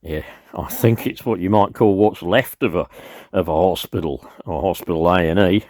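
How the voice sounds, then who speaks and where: A man playing an injured, dazed railway worker in a radio play, talking in a halting, shaken way. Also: deep voice, darkish tone, dry recording, studio quality, natural voice. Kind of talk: radio play disaster dialogue.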